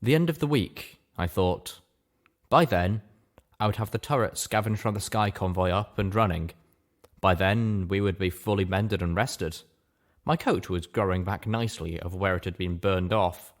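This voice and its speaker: A man reading a first-person story aloud as scripted narration. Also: deep voice. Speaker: reader of fan fiction show.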